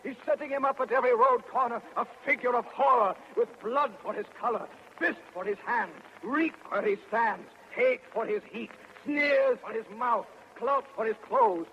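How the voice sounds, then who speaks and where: An actor playing a weary, urgent messenger with a dire warning, chanting verse in an old radio drama. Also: male voice, very high-pitched, creaky, muffled recording. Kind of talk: 1930s-style verse radio drama acting. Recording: old radio recording with hiss.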